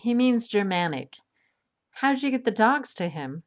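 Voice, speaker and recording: A woman's voice: a little high, big pitch swings, measured pace. A woman acting a practical swashbuckling heroine in an audio drama, with a dry, sardonic manner. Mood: impatient.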